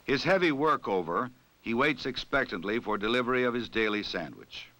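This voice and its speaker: Forceful American man narrating a 1960s training film. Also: medium pitch, lively intonation, a little hiss or hum, poor audio. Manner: formal, authoritative, measured delivery. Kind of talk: scripted 1960s documentary narration.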